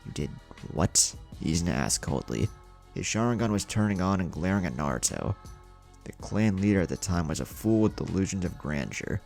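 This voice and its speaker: Male storyteller, very low and gravelly, lively delivery of scripted fiction over music. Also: fairly quick. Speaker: narrator.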